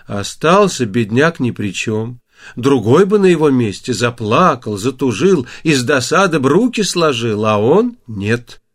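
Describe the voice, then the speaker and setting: Animated male fairy-tale storyteller. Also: medium pitch, unhurried. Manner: theatrical, folksy storytelling. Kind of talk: expressive fairy-tale audiobook narration.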